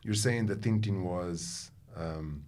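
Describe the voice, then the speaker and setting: Very deep-voiced male host. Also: takes it slowly, darkish tone. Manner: prompting, sums up the guest's points. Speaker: podcast host. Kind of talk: interviewer conversation.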